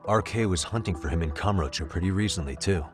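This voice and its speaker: A man playing a serious, steady, plain-spoken detective in an English game dub. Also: deep voice, fast talker, lightly acted. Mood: grave, thoughtful. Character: serious, steady male detective working a case. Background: background music.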